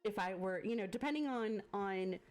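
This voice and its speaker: Woman, thirties, stream host, casual chat, lots of fillers, middle pitch. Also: normal speed, a little mellow. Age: 30s. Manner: chatty, with frequent fillers and laughter. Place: home streaming setup.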